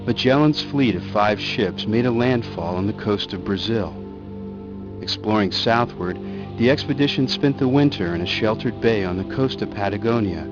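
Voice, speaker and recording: A man narrating a history documentary in a measured, even read. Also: fairly low pitch. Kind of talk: scripted narration. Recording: dull lo-fi clip with music.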